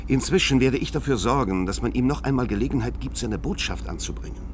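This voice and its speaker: An actor in a science-fiction radio play, playing an earnest, evasive secret-service official who speaks formally and pleadingly. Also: male voice, medium pitch, quick pace, lightly acted. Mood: placating. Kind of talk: German science-fiction radio drama dialogue. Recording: noisy vintage radio play recording.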